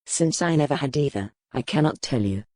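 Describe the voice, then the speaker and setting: An actor playing a stern, brooding medieval duke in an audiobook, with a commanding, formal manner. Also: male voice, fairly high pitch, animated, fairly quick, a little mellow, no echo. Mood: grim, reflective. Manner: commanding, formal period speech. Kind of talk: dramatic audiobook character acting.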